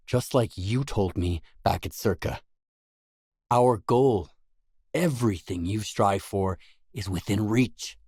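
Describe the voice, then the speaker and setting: A man's voice: on the low side, darkish tone, no room sound, clean studio sound. A man playing a determined, blunt stalker in a video game, speaking tersely and seriously in matter-of-fact dialogue lines. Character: determined, blunt male stalker adventurer in a grim zone. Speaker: game protagonist.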